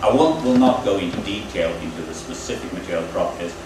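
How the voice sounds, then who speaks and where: A man giving a technical lecture at a training event, explaining in a halting way with frequent pauses. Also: low-pitched. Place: lecture room at a university. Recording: echoey room, distant mic, air-conditioning hum. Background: air conditioning hum.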